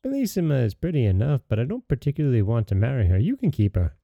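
A man voicing a haughty, scheming little yellow dwarf in a high, disdainful voice. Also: big pitch swings, fast talker, vocal fry, no echo, lo-fi sound.

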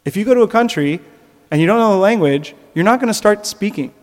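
A man's voice: high voice, animated, fast talker, long reverb tail. A man preaching a sermon in an earnest way, rising at times to emphatic peaks. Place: church.

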